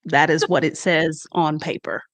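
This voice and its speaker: A woman hosts an interview. Her voice sits a little low for a woman. She asks her questions in a calm, measured way. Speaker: host.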